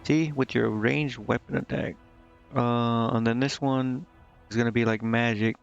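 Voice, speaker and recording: A man streaming a video game, casually thinking out loud and reading menu text to himself, with some offhand swearing. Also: medium pitch, steady tone, a little slow, creaky, smooth, muffled recording, soft background music. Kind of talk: casual live gameplay commentary, muttering and reading menu text aloud.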